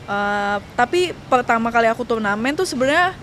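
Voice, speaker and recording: This is a young woman with an Indonesian accent and a somewhat high voice. She talks casually as a guest in an interview, telling stories and voicing the quoted bits of conversation. A faint vehicle-like ambient noise sits behind her. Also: lively intonation, a little fast, a bit bright. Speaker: guest, professional golfer and coach.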